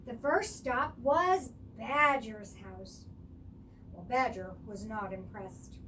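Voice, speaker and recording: Woman reading a kids story, slow and expressive, high voice, faint background noise. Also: far from the mic, rough recording. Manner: expressive, explaining for children. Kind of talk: children's story read-aloud.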